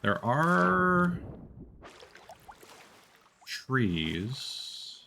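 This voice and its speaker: A man's voice: medium pitch, big pitch swings, very slow, vocal fry, pushed and bright, slight background noise. A man streaming a game, chatting casually and thinking aloud in hesitant, unfinished fragments. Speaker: live streamer. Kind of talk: casual gameplay chat.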